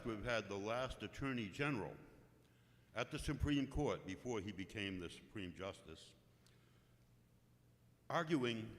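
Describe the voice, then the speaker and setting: Man, booming low voice, indignant, blunt, citizen testimony, long reverb in a hearing room. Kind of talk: public testimony. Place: legislative committee hearing room. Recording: long hall reverb, off-mic at times.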